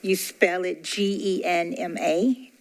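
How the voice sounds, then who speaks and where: A woman's voice: lowish voice, steady tone, slow pace. A woman business owner introducing herself carefully at a meeting.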